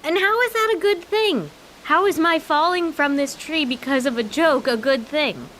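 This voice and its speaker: A high-voiced young grown daughter in a comedic audio play, with hiss behind her. She is strong-willed, earnest and wry, arguing her case emphatically in a naturalistic, frustrated way. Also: normal speed, a bit bright. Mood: exasperated.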